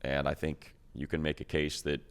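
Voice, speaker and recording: A man's voice: very deep voice, level tone, moderate pace, clear, resonant tone. A man co-hosting a sports show, giving analysis in a casual conversational way.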